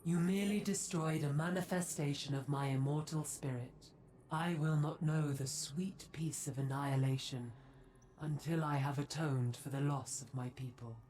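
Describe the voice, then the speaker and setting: A woman's voice: sits low, fairly even intonation, normal speed, darkish tone, slight background noise, natural voice, poor audio. A stern, ancient female centaur spirit, voiced by a woman in a fantasy game. She is cold, imperious and formal, with vengeful weight. Faint ambience sits behind her. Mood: grim. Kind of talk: scripted fantasy game acting.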